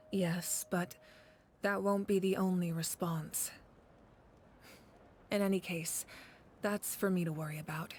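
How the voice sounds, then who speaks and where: An actress playing a confident, caring woman who leads warriors in a video game, speaking in a composed, resolute and measured way. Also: lowish voice, fairly even intonation, a little mellow, slight background noise. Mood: calm, determined. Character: confident, caring warrior leader woman, former fire deity. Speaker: game character. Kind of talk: scripted video game voice acting. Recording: game audio with background music.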